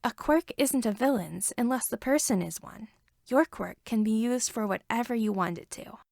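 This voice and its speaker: A woman voicing a kind, gently reassuring mother in a story reading. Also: fairly high pitch, normal speed, creaky, no room sound, studio quality. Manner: kindly.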